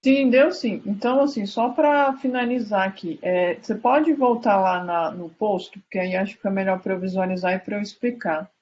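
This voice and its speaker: A Brazilian woman speaks as a student in a casual live class, with a mid-pitched voice. She thinks aloud a little tentatively. The sound is dull and lo-fi, with faint noise behind her. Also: moderate pace, hardly any pauses, a little mellow. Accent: Brazilian Portuguese.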